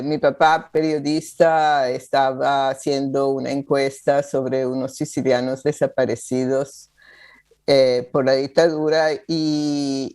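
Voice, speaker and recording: A woman from Venezuela, a guest in an interview, talking slowly with many hesitations. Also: sits low, a little mellow. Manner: slow, rambling, with frequent hesitations. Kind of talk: casual interview over a call. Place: New York.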